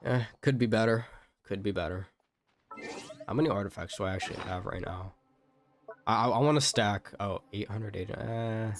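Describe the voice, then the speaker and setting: Low-pitched man rambling through casual game commentary. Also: moderate pace, pauses often. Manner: rambling, thinking aloud. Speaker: game streamer. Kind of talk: casual live gameplay commentary. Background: game music.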